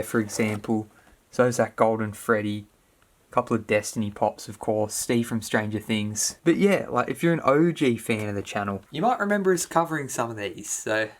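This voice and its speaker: Young Australian man chatting over music. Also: medium pitch. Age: early 20s. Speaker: YouTuber answering viewer questions. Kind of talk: casual Q&A video chat.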